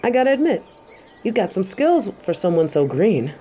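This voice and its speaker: Woman as confident tiger warrior woman, stern, wry, matter-of-fact, mid-pitched, audio drama, clear ambient noise. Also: sing-song, moderate pace, dark tone, natural voice. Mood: teasing, approving. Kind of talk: scripted audio roleplay. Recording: clear ambient sound effects behind voice.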